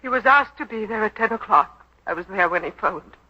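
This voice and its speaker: A woman's voice: medium pitch, expressive, a little fast, pushed and bright. An actress playing a bitter, grieving daughter in a 1940s radio drama, intense and accusing in her delivery. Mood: indignant, grieving. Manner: intense, accusing, clipped retorts. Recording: dull vintage 1940s radio recording.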